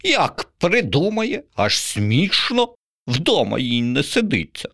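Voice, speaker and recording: Storybook pigeon: nervous, mocking male bird, raised pitch, voiced by a man. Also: big pitch swings, slow pace, creaky, fairly bright tone, full character voice. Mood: scoffing. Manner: snorting, twitchy, mocking.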